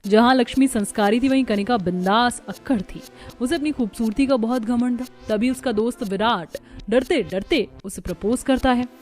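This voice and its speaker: A woman's voice: fairly high pitch, normal speed, darkish tone, faint music behind. A woman narrating a cartoon family story as a scripted storyteller.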